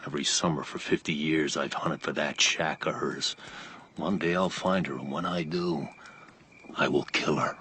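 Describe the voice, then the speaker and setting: Deep-voiced haunted older drifter. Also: male voice, steady tone, moderate pace, bright, forceful tone, slightly rough, exaggerated voice. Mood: menacing, haunted. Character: haunted, vengeful older tattooed wanderer, brooding and taunting. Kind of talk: radio drama storytelling.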